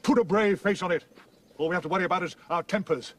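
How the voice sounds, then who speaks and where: A man playing a lugubrious, fatalistic marsh-dwelling creature in an audio drama, speaking in a doleful, deliberate manner. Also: high voice. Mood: gloomy resolve. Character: gloomy, lugubrious marsh-wiggle guide, dourly fatalistic grown male creature.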